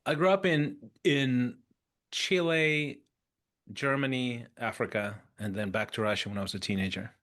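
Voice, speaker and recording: A man in his fifties speaks as a guest on a podcast with a mid-range voice. He tells his stories in an animated, conversational way and often restarts phrases as he thinks. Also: mid-range voice, moderate pace. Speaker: interview guest. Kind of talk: conversational storytelling.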